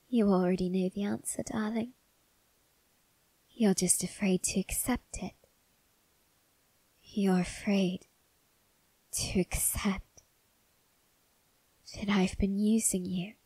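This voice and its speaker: A woman voicing a suave male vampire husband in an ASMR roleplay, coaxing softly and often whispering with quiet menace. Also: medium pitch, no room sound, low-quality recording. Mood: coaxing, self-justifying. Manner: slow, soft, breathy coaxing, often whispered.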